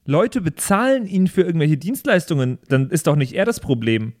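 A man's voice: fairly high pitch, big pitch swings, moderate pace, darkish tone. A man hosting a podcast, chatting casually and reading out thanks with jokey asides. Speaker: podcast host and tabletop player.

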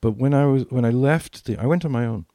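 A man's voice: medium pitch, fast talker, warm tone, no room sound, clean studio sound. An older British man reminiscing as a podcast co-host, repeating phrases for emphasis. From Britain.

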